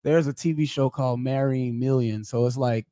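A man hosting a casual podcast, talking in a hyped, slangy way with loud greetings. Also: mid-range voice, fairly even intonation, moderate pace, no echo, studio quality. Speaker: podcast host.

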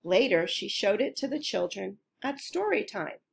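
A woman reading a children's picture book aloud as a storyteller, in a careful, expressive way. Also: mid-range voice, normal speed, creaky, breathy edge. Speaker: storybook reader.

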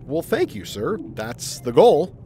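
A man's voice: fairly high pitch, big pitch swings, slow, mellow, a little hiss or hum. An actor playing a good-natured, wisecracking family man and reporter in an audio drama. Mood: polite, wry. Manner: affable, joking.

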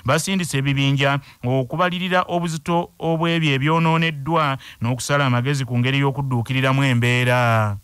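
A man reading the news as anchor in a brisk, formal delivery. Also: mid-range voice, steady tone, fairly bright tone, clean tone, no room sound.

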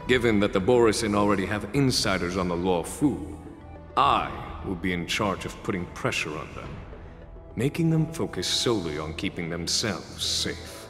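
A deep-voiced, composed strategist general in a video game. He lays out his plans calmly and confidently, with a long reverb and soft music behind him. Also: normal speed. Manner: composed, explanatory.

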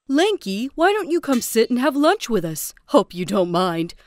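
A woman voicing a kindly, anxious housewife in an animated storybook cartoon. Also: a little high, moderate pace, dry recording. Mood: welcoming. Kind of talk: cartoon voice acting.

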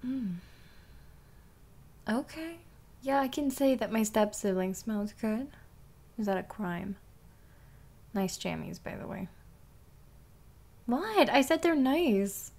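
A woman's voice: on the high side, expressive, slow pace, long pauses, darkish tone, exaggerated voice. A young woman playing a bossy, teasing step-sister in an intimate ASMR roleplay, often dropping toward a whisper. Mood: teasing, relaxed.

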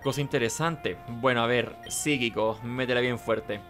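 A man's voice: mid-range voice, moderate pace, a bit bright, soft background music. A man giving casual, rambling let's play commentary, talking to himself. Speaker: gaming host.

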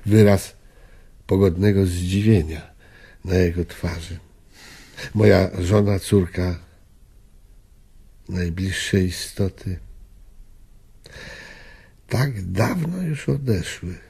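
An actor playing a weary, melancholy old man, speaking reflectively and in measured phrases in a radio drama. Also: low-pitched, gravelly, warm tone, breathy edge, exaggerated voice. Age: older. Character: weary, melancholy old widower haunted by wartime memories.

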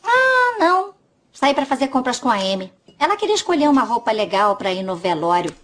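A woman's voice: high-pitched, big pitch swings, fairly quick, fairly bright tone, exaggerated voice. A woman dubbing a breezy, playful young waitress in a Brazilian Portuguese TV dub, teasing and easygoing.

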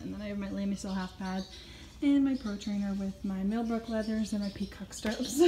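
Chatty woman vlogging at a barn, mellow voice. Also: mid-range voice, slight background noise. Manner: chatty, giggly, quick asides. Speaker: horse vlogger. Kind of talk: casual vlog chat to camera. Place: at a horse barn.

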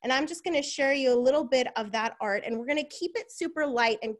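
A woman's voice: sits high, fast. Chatty woman teaching a webinar. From Southern California. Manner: chatty, laughs often, breaks off to read the chat. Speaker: acupuncturist leading an online workshop. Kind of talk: casual live webinar teaching. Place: home room on a video call.

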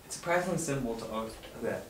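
A young man reading the part of a dejected, bitter high school boy at a drama table read, far from the mic. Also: animated, rapid-fire, natural voice. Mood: bitter, self-blaming. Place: home dining room. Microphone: far camera mic. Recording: distant mic, echo, loud noise.

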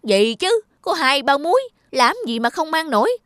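A woman voicing a greedy, stingy old male landlord in a cartoon, gruff and bossy. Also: high-pitched, sing-song, moderate pace, dry recording. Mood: scolding. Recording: studio voice with loud music.

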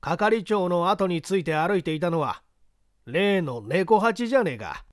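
A man voicing rough rustic construction laborers in a dramatic audiobook reading, with blunt colloquial speech. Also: high-pitched, animated, unhurried, no room sound, clean studio sound, voice pushed up. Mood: suspicious, accusing. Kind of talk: dramatic audiobook character acting.

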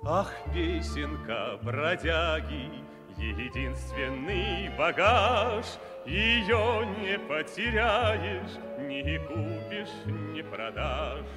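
Carefree singing vagabond for old radio play, slow, reverberant, over loud orchestral music. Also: male voice, on the high side, animated. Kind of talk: singing a light song in a 1960s radio play.

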